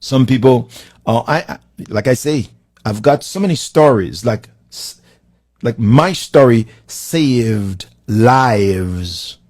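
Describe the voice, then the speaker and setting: Slow, emphatic Haitian-accented man. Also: medium pitch, lively intonation, a little mellow, dry recording, clean studio sound. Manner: preacherly, with slow emphatic rising lines. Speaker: motivational speaker. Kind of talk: live-streamed motivational talk.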